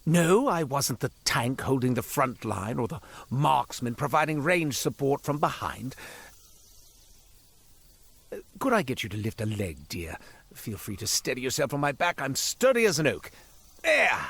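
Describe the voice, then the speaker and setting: A man's voice: mid-range voice, big pitch swings, normal speed, vocal fry, fairly bright tone, slightly rough, dry recording, heavily acted. An actor playing a chatty, self-important male merchant in a video game, wry, cowardly and theatrical, given to digressions as he tells his tale. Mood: grand, wry, then fussy, helpful. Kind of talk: theatrical character monologue, storytelling.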